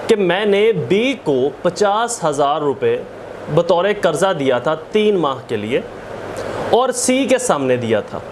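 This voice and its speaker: Male law lecturer, Pakistani accent, high-pitched, emphatic and repetitive delivery, noticeable background noise. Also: sing-song, slow pace. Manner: emphatic, repeats and rephrases key points. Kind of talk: explanatory lecture with English legal terms. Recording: clearly noisy background.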